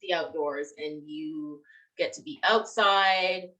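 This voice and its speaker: A woman's voice: sits low, fairly even intonation, slow, pushed and bright, poor audio. A young woman co-hosting a casual game fan livestream, chatty and giggly as she interviews her co-host.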